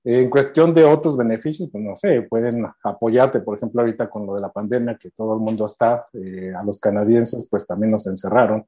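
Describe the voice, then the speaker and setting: A man's voice: on the low side, fairly even intonation, a little fast, few pauses, dark tone, muffled recording. A man from Mexico with a Mexican Spanish accent, explaining immigration as an interview guest. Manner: explanatory, many fillers and restarts. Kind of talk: conversational explanation.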